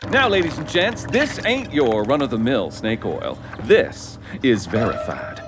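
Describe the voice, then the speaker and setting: An actor playing a showy snake-oil salesman in a comic Western audio drama, with grandiose, drawn-out patter. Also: medium pitch, big pitch swings, music in the background. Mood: showy salesmanship.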